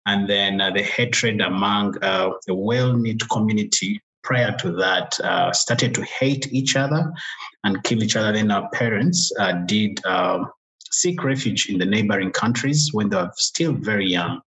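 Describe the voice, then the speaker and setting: Low-voiced man. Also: a bit bright, low-quality recording. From Uganda. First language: Luganda. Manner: measured, pauses often with "uh". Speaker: guest. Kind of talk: interview conversation.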